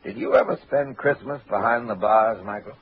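A dull, hissy vintage radio recording of a suave con-man boss. His mid-pitched voice is florid and courtly, with an imperious, pompous edge in the manner of 1940s crime drama. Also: very animated, normal speed, gravelly. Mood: menacing. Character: suave, pompous male swindler boss called Colonel.

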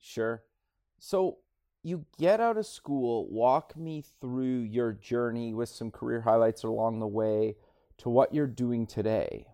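A man's voice: mid-range voice, slow pace, dark tone, dry recording. A man from Canada hosting an interview, asking short friendly questions. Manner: friendly, brief prompting questions. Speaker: podcast host. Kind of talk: interview host.

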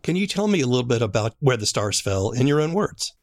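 A man with a medium-pitched voice hosts an interview. He talks conversationally, putting his questions and thoughts in a measured, thoughtful way. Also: big pitch swings. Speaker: podcast host, interviewer.

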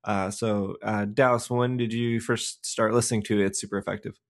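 A man's voice: on the low side, flat delivery, moderate pace. A man with a scratchy voice hosting a casual podcast, self-deprecating and stumbling over restarts. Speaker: podcast host. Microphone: home podcast microphone.

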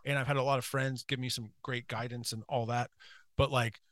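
A man's voice: mid-range voice, flat delivery, a little fast, fairly bright tone, no echo, studio quality. A middle-aged man hosting a podcast in casual chat, rambling and candid with frequent restarts. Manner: rambling, restarts often, laughs easily, candid. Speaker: podcast host.

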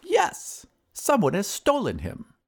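Man doing a high, plaintive storybook wizard. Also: wildly swooping pitch, takes it slowly, gravelly, a little hiss or hum, heavily acted. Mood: distressed. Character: plaintive, bargaining male wizard of a fairy tale.

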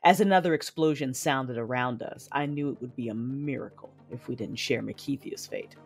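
A woman playing a grave, reserved warrior, narrating an audio drama in measured, formal, literary language. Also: very deep voice, a little mellow, faint music behind. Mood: solemn, restrained.

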